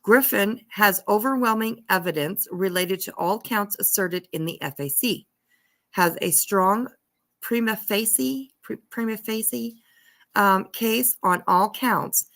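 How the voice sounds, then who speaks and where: A woman's voice: medium pitch, a little slow, a bit bright. A woman commenting casually and reading documents aloud, irreverent and giggly in manner. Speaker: livestream host.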